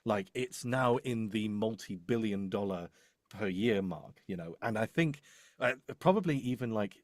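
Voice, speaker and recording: Low-voiced man, rambling and explaining. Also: lively intonation, measured pace, no echo, studio quality. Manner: rambling, hesitant, thinking aloud. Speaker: voice-over demo producer. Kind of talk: casual explanatory chat.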